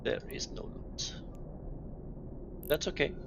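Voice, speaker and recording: A man from Belgium streaming a game, explaining his setup in halting speech full of fillers and restarts. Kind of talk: live-stream gameplay commentary. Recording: dull lo-fi mic with clear noise.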